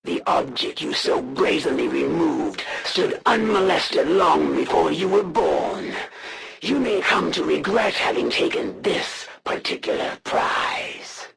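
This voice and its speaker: A man playing a proud, honor-bound alien assassin in a video game, coldly menacing, with formal, haughty, deliberate speech. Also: fairly high pitch, vocal fry, fairly bright tone, a little hiss or hum, full character voice. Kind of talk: scripted video game villain voice lines.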